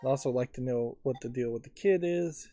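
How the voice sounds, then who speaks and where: A man giving musing game commentary, thinking aloud in a halting way. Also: medium pitch, mellow. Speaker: let's play host. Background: faint game music.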